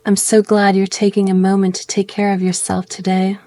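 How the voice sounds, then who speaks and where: A woman guiding a meditation in a gentle, soothing way, speaking in slow phrases with pauses. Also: medium pitch, completely flat delivery. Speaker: meditation guide. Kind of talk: guided meditation narration.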